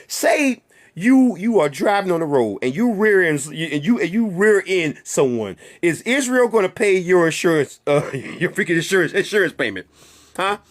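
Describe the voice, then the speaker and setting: A man's voice: sits high, a bit bright. A man hosting his own channel, delivering a heated, profane rant to viewers with a string of rhetorical questions and repeated phrases. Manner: heated and emphatic. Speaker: channel host. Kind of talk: ranting monologue to viewers.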